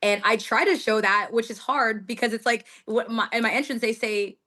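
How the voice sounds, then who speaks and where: A woman's voice: fairly high pitch, steady tone, normal speed, pushed and bright. A young woman from the United States, a guest in a casual interview, talking in a chatty way with lots of laughs and filler words. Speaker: guest wrestler.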